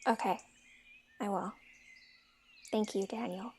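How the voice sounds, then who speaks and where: A woman playing an outspoken young fairytale heroine in an amateur audio drama, with an expressive, emphatic way of talking. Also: mid-range voice, takes it slowly, creaky. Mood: softer, sincere.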